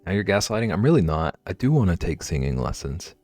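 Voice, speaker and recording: A man's voice: low-pitched, big pitch swings, quick pace, a little mellow, dry recording, faint music behind. A man streaming live, chatting casually and playfully with viewers while he draws. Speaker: livestreamer.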